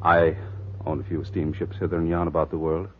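An actor playing a suave, self-assured millionaire with formal, urbane speech, in 1940s radio drama style. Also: male voice, rumbling low, little pitch movement, brisk. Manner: smooth-talking, formal, urbane. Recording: muffled vintage 1940s radio recording.

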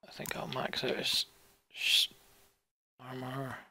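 A man doing let's play game commentary, reading on-screen text aloud and thinking out loud. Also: mid-range voice, completely flat delivery, takes it slowly, slight background noise.

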